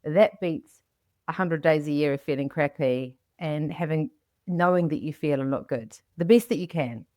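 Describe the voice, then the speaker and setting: A woman's voice: low voice, normal speed, warm tone, no room sound, clean studio sound. A woman hosting a podcast in casual, chatty style, telling anecdotes and acting out what people said. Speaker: podcast host. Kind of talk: casual, chatty interview talk.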